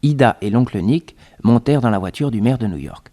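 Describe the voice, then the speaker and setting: An actor narrating a French radio detective serial as a storyteller who takes part in the adventure. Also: male voice, medium pitch, animated, brisk, dark tone, natural voice. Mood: measured, storytelling. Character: young male detective sidekick telling the adventure.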